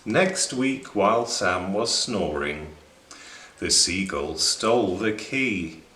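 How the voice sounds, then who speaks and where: A man narrates a children's storybook expressively. His voice is on the low side with wide, lively intonation and a slow pace. The room echoes around him. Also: noisy background. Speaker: story reader. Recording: echoing room.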